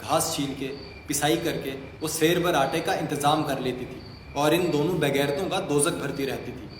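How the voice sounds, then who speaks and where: A man's voice: a little high, normal speed. A man narrating a scripted story for an audio play. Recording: echoey hall, long reverb, faint noise.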